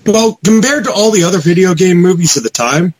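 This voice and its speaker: A man's voice: sits high, animated, fairly quick. A man talking casually and enthusiastically as an opinionated panelist in a group podcast chat.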